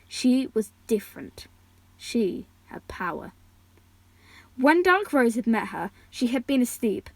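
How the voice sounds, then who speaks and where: A woman's voice: on the high side, gravelly, no room sound, low-quality recording. A young woman reading aloud and chatting casually in an informal way, sometimes stumbling and correcting herself. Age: teenager or young adult, still at school. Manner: informal, stumbles and self-corrects over words. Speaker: amateur audiobook reader, channel host.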